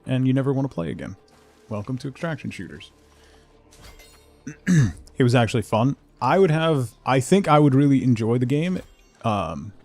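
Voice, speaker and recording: Male livestreamer, mid-pitched, easygoing and chatty gaming commentary with soft music underneath. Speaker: game livestreamer.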